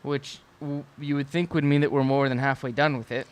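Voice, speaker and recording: A man's voice: medium pitch, level tone, fairly quick, clean tone. A young man co-hosting a podcast, chatting casually, swearing a lot and ranting in bursts. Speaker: podcast co-host. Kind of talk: casual chat.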